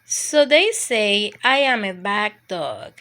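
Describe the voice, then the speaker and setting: Woman reading as a bright-voiced mischievous storybook dog. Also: mid-range voice, big pitch swings, slow, heavily acted. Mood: cheeky, excited. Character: mischievous, adventure-hungry dog telling its own escapade. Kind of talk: storybook first-person narration.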